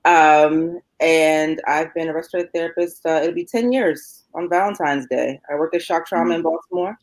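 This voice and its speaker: A woman's voice: low-pitched, normal speed, dry recording. A woman in her thirties from New York, introducing herself casually as a respiratory therapist on a panel. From born and raised in New York.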